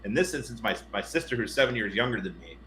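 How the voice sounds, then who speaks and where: Bright-voiced rambling man in an echoey room. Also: mid-range voice, fast talker. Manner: rambling, animated, profane, opinionated. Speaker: podcast co-host. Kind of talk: casual film and game discussion. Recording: roomy echo with background noise.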